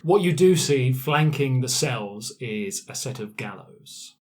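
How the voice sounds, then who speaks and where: A man's voice: mid-range voice, animated, slow pace, a little hiss or hum. Man narrating a tabletop game, casually. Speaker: game master.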